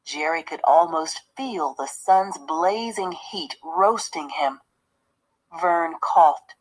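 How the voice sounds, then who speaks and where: A woman teacher giving a read-aloud lesson, explaining the story in a measured way and pausing to put questions to listeners. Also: low-pitched, low-quality recording.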